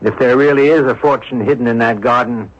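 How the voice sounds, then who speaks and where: An actor playing a composed, earnest investigator in a radio drama, speaking in a measured, formal way. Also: male voice, medium pitch, steady tone, natural voice. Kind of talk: old-fashioned radio drama acting. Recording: dull lo-fi radio recording.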